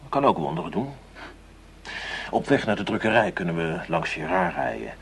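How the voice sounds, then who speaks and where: A man's voice: low voice, very animated, normal speed, creaky, exaggerated voice. An actor playing a sinister, laconic gang henchman in a radio play, on a faintly noisy old recording. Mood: quietly menacing. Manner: laconic, menacing.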